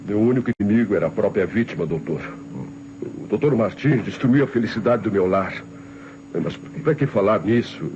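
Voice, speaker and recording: A man's voice: lowish voice, expressive, moderate pace. An actor playing a troubled, proud middle-aged father suspected of murder, speaking formally in an old radio crime drama. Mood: pained, proud. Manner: earnest, formal, pained. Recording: muffled, noisy vintage radio recording.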